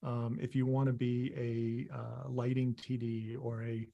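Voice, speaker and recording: Halting middle-aged man from Maine. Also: mid-range voice, flat delivery, vocal fry, dull audio. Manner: halting, many ums and restarts. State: losing his voice, clearing throat. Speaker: interview guest, visual effects artist. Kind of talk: casual conversation over video call. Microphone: video call.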